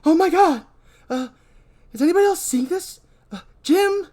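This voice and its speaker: A woman's voice: very high voice, expressive, normal speed, dark tone, pitched above the performer's own voice. A woman voicing a frightened young woman crying for help. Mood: panicked. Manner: screaming, panicked cries.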